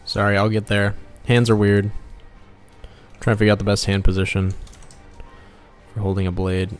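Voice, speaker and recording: A low-pitched man talks in a loose, laid-back way, like a game developer streaming his artwork. He thinks aloud and drops muttered asides while working, with music playing behind him. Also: monotone, normal speed, clear, resonant tone. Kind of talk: casual art livestream chat.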